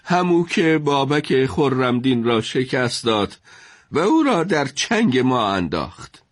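A man's voice: mid-range voice, big pitch swings, no echo, studio quality, pitched above the performer's own voice, lightly acted. A man playing a troubled, commanding caliph in a dramatised historical story, speaking in formal, emphatic literary phrases.

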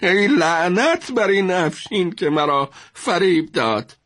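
Man acting a troubled, lively-voiced caliph. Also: a little high, dry recording, studio quality, pitched above the performer's own voice. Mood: angry, pleading. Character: troubled, impulsive caliph, regretful and commanding. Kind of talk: dramatised historical storytelling.

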